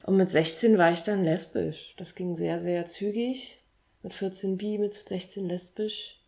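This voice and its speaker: A woman's voice: fairly low pitch, steady tone, measured pace, creaky, warm tone. A woman in her thirties from Berlin co-hosting a casual podcast chat, bubbly and playful. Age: 30s. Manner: bubbly, playful, laughs often. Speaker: podcast co-host.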